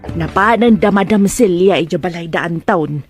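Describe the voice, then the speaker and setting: A woman playing an excitable, gossiping household helper in a melodrama, chatty, exclamatory and insinuating. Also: on the low side, normal speed, over music, lightly acted. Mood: gossipy. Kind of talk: Ilocano melodrama acting.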